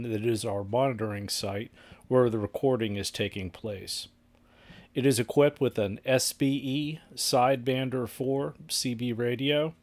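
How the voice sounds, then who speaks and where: A man's voice: mid-range voice, a bit bright. A middle-aged man giving scripted technical narration in precise, formal wording as host of a radio-testing video.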